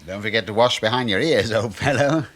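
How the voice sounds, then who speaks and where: An actor in a radio play voicing a cheeky, breezy middle-aged British suburban husband who teases and muses like a know-all. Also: male voice, on the low side, fairly quick, a bit bright. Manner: breezy, teasing, musing.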